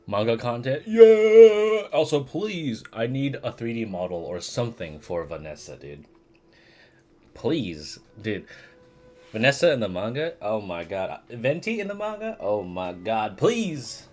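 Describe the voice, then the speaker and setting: A man's voice: mid-range voice, very animated, unhurried, a little mellow. A man giving casual, slangy, reactive commentary while streaming a game. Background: game music.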